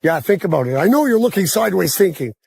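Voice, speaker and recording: A hoarse man, who has lost his voice to a cold, arguing loudly and talking over police officers. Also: sits high, sing-song, fast, no echo. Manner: loud, argumentative, talks over others. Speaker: asphalt worker confronted by police. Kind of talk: heated street argument.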